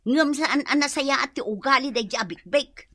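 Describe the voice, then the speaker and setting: An actress playing a nosy, spiteful and bossy sister-in-law in a comedic radio drama, with a pushy, scolding manner. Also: female voice, sits high, normal speed, pushed and bright, dry recording, clean studio sound. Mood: bossy, insistent.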